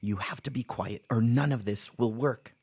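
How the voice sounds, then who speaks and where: An actor playing a controlling, quietly sure man who gives terse orders to his partner in a radio horror play. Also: mid-range voice, normal speed, husky.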